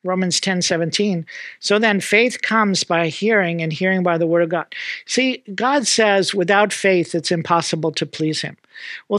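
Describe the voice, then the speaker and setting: A man's voice: high-pitched, a bit bright, dry recording. A middle-aged American man preaching to Bible school students, checking in with "amen?" and "okay?" after nearly every point. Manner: punctuates phrases with "amen?" and "okay?". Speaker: pastor. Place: small indoor room with mantel decor.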